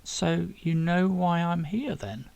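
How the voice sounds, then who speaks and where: A man's voice: fairly high pitch, takes it slowly, vocal fry, darkish tone, pitched above the performer's own voice. A man voicing a bewildered, curious male time traveller who speaks in puzzled questions in an audiobook. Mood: confused.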